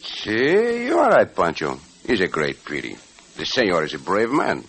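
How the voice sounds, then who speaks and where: A man's voice: deep voice, wildly swooping pitch, normal speed, a bit bright, full character voice. A man playing a suave, commanding Mexican cowboy adventurer in an old-time radio western, with a Mexican accent and courtly manner. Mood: slyly menacing. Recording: muffled, husky vintage radio broadcast.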